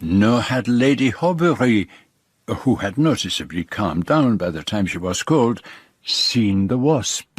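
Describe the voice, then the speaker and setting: An actor playing a courteous, observant Belgian detective with a French-speaking Belgian accent and formal, precise phrasing in a radio drama. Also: male voice, fairly low pitch, lively intonation, measured pace, slightly rough. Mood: measured, curious. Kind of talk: radio drama acting and narration.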